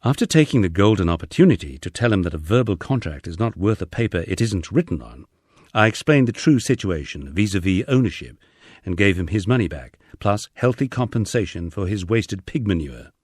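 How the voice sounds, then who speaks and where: Male audiobook reader, low-pitched, lively, dry close sound, memoir storytelling. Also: no echo. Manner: measured, expressive storytelling. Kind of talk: first-person memoir narration.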